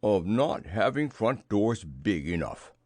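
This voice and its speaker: A man voicing a gentle, dim, gloomy storybook bear with ponderous, drawn-out speech. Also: mid-range voice, big pitch swings, no room sound, clean studio sound, exaggerated voice. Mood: cross. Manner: slow, ponderous, drawn-out delivery.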